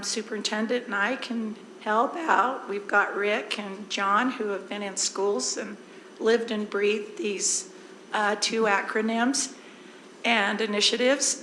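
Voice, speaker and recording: Hoarse woman, district presenter, easygoing and explanatory, in a roomy hall with faint noise. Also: mid-range voice, bright, forceful tone. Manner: conversational, explains with everyday examples. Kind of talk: informal presentation at a board meeting. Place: board meeting room.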